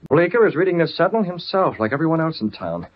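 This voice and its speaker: An American actor playing a resolute publisher and secret vigilante, brisk and authoritative, in an old radio adventure. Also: male voice, a little high, big pitch swings. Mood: grave, determined. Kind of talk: 1930s-40s American radio drama acting. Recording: muffled vintage radio recording.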